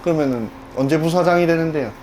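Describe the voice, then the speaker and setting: A man with a Gyeongsang accent hosting a talk show, joking and chatting in a lively way. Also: on the high side, fast, heavy background noise. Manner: lively, joking, frequent backchannel. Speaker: host. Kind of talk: casual conversation.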